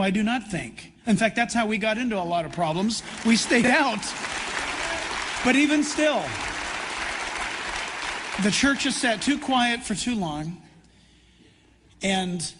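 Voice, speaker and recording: An American man, a middle-aged or older pastor from California, addressing a church conference in an earnest, conversational preaching style with deliberate pauses. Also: sits high, a bit bright, a little hiss or hum. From California, United States. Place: large church hall. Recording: reverberant large hall, live PA sound.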